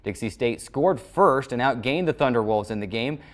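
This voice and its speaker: A man's voice: medium pitch, lively intonation, moderate pace. A man hosting a sports show, reading a scripted recap in formal broadcast phrasing.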